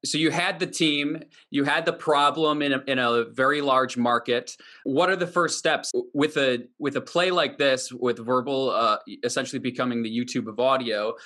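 Guy interviewing on a podcast, bright crisp-edged forceful voice, conversational. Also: medium pitch, fairly even intonation, moderate pace, hardly any pauses.

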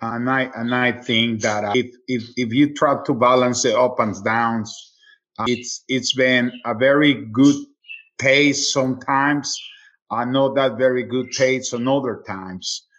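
A middle-aged man from Mexico City with a Mexican accent, a native Spanish speaker, talking casually as an interview guest in a halting way with repeated words. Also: mid-range voice, steady tone, a bit bright, dull audio. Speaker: sports radio play-by-play announcer, interview guest.

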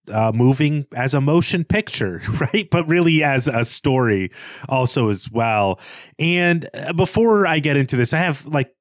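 A man's voice: medium pitch, dry recording. Man chatting thoughtfully about film, dry sound. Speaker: podcast co-host. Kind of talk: casual film discussion.

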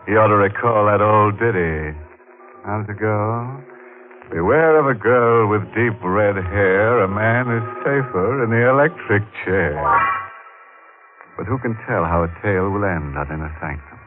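A man's voice: fairly low pitch, a little slow, lightly acted. A man playing a macabre, wisecracking host narrating an old radio mystery show in a mock-genial, sardonic manner. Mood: gleefully macabre. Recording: tinny old radio broadcast. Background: music.

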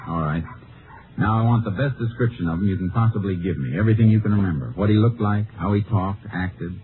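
An actor playing a determined Texas Ranger in a 1950s radio drama, an American with a folksy, clipped, understated delivery. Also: male voice, fairly low pitch, quick pace, a little hiss or hum, natural voice. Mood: intent, questioning. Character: laconic, determined male Texas Ranger investigator, also narrating. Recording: muffled old radio recording, narrow band.

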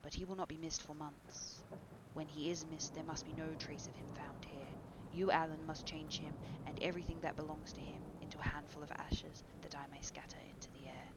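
A woman voicing a desperate young man who whispers an urgent dark confession. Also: low voice, steady tone, normal speed, very noisy, close to an everyday voice.

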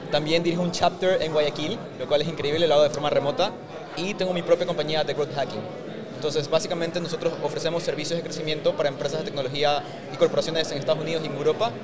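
Young man, from Ecuador, raised in the US, fast talker, informal with fillers, outdoors with crowd noise. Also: on the high side, steady tone. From Ecuador, raised in the United States. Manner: quick, informal, filler-heavy. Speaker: guest, growth agency founder. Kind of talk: casual interview talk.

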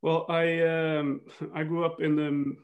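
A man's voice: fairly high pitch, little pitch movement, takes it slowly, phone-quality sound. A man from northern Sweden, talking thoughtfully as an interview guest, with many restarts and fillers. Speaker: guest, football coach. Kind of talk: reflective interview talk.

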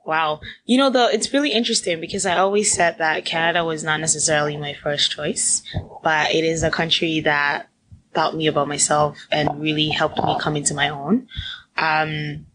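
A young woman from Ghana speaks as a podcast guest in a lower-than-usual voice, telling her story with animated quoted voices. A faint noise sits under the recording. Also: normal speed, poor audio. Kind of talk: conversational interview.

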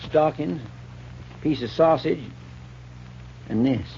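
An actor playing a deferential, eager deputy with a drawling rural American accent in a 1950s radio western. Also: male voice, fairly high pitch, takes it slowly, creaky. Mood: earnest. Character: marshal's eager, deferential, folksy deputy helper. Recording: dull, noisy old radio recording.

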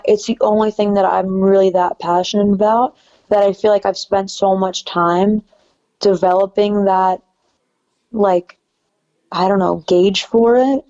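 A young woman singer-songwriter with a soft, slightly raspy low voice, chatting casually in an interview with lots of fillers. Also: dull audio. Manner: relaxed, chatty, many fillers.

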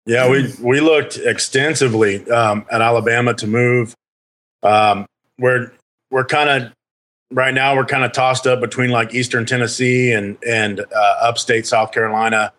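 A man's voice: mid-range voice, fairly even intonation, moderate pace, fairly bright tone. A man in his thirties from California, a podcast guest telling stories in a rambling way with many fillers. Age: 30s. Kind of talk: casual storytelling chat.

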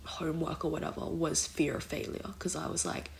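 A woman's voice: low-pitched, little pitch movement, fairly quick. A young woman hosting her own podcast in a casual, rambling way, filling her talk with "like" and "kind of", her voice nasal from a blocked nose. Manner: rambling, heavy use of "like" and "kind of". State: recovering from illness, congested. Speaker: podcast host. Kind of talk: casual solo podcast chat.

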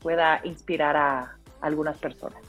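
A Mexican woman in her thirties with a deep, full-bodied voice, telling personal stories casually as an interview guest, in an expressive way. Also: normal speed. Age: 30s. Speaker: interview guest, radio announcer. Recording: dull lo-fi sound, music underneath.

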